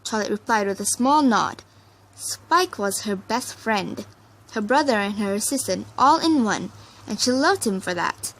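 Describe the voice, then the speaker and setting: A woman reading fan fiction aloud as an expressive storyteller. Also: mid-range voice, a little slow, poor audio.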